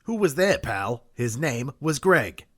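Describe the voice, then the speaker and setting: An actor playing a chatty, easily offended demon with sarcastic, casual wisecracks in a comedic radio play. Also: male voice, medium pitch, very animated, slow pace, a bit bright, exaggerated voice. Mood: flippant.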